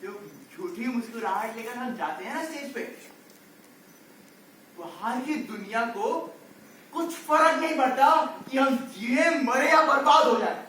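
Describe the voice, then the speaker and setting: A man's voice: very high voice, lively intonation, unhurried, bright, forceful tone, exaggerated voice. A man playing a desperate, bitter circus clown and father on stage, declaiming and firing off rhetorical questions. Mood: bitter, pleading. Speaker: stage actor. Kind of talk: amateur Hindi stage play acting. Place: indoor stage, recorded from the audience area. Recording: distant, very echoey hall, faint noise.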